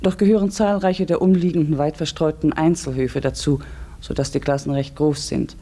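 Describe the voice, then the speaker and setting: An actress playing a village schoolteacher in a German radio drama, articulate and measured, stern yet gentle. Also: female voice, sits low, fairly even intonation, lightly acted. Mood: measured, reflective. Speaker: actor in a radio play. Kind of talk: German radio drama, narration and classroom dialogue.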